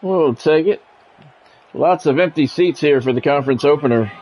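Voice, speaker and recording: An American man doing sports play-by-play for a video game stream, mixing game calls with relaxed, casual chat to viewers. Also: a little high, normal speed. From United States. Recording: dull lo-fi audio with faint noise.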